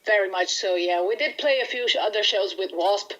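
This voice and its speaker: A woman's voice: mid-range voice, fairly quick, a bit bright, lo-fi sound. Chatty Brazilian woman in an interview. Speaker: band musician being interviewed. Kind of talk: casual interview chat.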